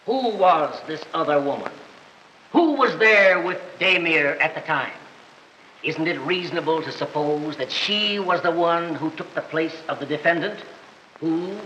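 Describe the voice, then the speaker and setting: Bright, pompous prosecutor in an old film. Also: male voice, high-pitched. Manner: declamatory, oratorical. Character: pompous, accusing small-town district attorney, a man. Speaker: actor playing a prosecutor. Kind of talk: old film courtroom drama acting. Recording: dull, roomy old film soundtrack, faint noise.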